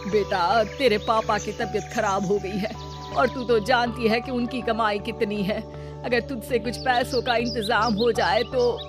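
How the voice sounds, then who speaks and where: Woman playing a scheming high-pitched cartoon mother over music. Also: steady tone, a little slow, natural voice. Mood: wheedling. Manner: scolding, dramatic, wheedling. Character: greedy, scheming, melodramatic middle-aged mother. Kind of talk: cartoon story acting. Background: background music.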